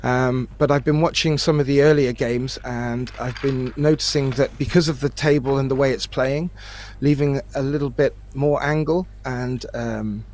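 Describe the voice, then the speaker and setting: A man giving conversational live pool commentary in measured phrases, often repeating a word as he thinks. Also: mid-range voice, steady tone, darkish tone. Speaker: sports commentator. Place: remote commentary from his own location.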